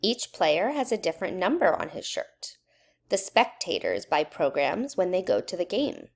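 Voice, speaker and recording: Woman reading aloud with lively, swooping pitch. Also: vocal fry. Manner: measured, instructional, poses questions to young listeners. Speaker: educator reading a children's book aloud. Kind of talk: children's read-aloud narration.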